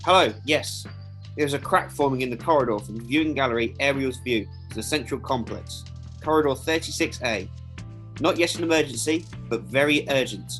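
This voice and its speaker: Modest young athlete played by a woman, very deep for a woman, level-headed, faint music behind. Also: a little fast. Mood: calm, urgent. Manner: calm, matter-of-fact. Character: matter-of-fact, modest young athlete called Logan. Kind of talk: audio drama acting.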